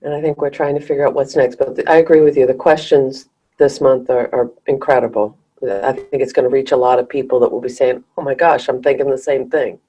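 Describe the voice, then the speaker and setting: A woman's voice: very deep voice, fairly even intonation, fairly quick, mellow, breathy edge, muffled recording. A woman co-hosting a spiritual talk show, thoughtful and agreeable in casual chat. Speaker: co-host.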